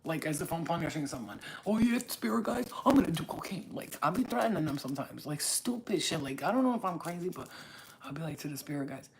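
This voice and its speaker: A woman's voice: deep voice, lively intonation, fast, vocal fry, a little hiss or hum, low-quality recording. A woman from Staten Island, hosting her own channel and talking straight to viewers in a blunt, profane, preachy way. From Staten Island, New York. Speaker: spiritual reader and channel host. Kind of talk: casual talk to camera.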